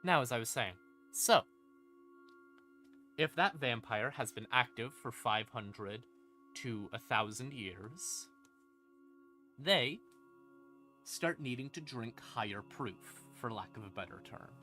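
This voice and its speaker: A man talks through game lore on a livestream at an unhurried pace. His voice is bright and forward, his manner playful and conversational with dramatic asides. Creepy ambient music plays quietly underneath. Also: medium pitch, expressive. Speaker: streamer explaining game lore. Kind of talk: casual livestream lore explainer.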